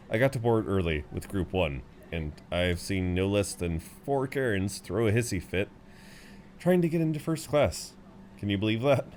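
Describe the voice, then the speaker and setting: A man's voice: sits low, slight background noise. A man playing a chatty, good-humoured frequent flyer who rambles kindly to a seatmate, in an intimate roleplay audio. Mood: chatty, amused. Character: chatty, friendly tall male frequent flyer comforting seatmate. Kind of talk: intimate roleplay audio acting, one side of conversation.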